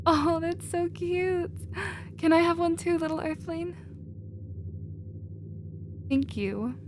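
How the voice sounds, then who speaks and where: A woman playing a protective, nurturing female alien with a casual, expressive manner in a sci-fi ASMR roleplay. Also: very high-pitched, slow, noisy background. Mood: tender, bubbly.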